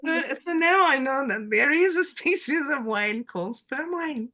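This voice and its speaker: A woman's voice: high-pitched, expressive, moderate pace, bright, forceful tone. A middle-aged woman with a French accent, a guest telling stories casually, full of fillers and laughter. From France. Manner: chatty, many fillers and laughs. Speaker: interview guest. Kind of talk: casual storytelling.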